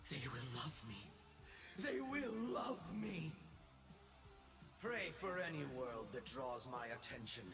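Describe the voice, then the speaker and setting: Megalomaniac emperor, wildly swooping high voice. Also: male voice, big pitch swings, takes it slowly, long pauses, distant mic, over music, exaggerated voice. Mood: grandiose, gloating. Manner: grandiose, arrogant, swinging between shouts and hushed menace. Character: megalomaniac young self-crowned space emperor, gloating and unhinged. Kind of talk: theatrical video game voice acting.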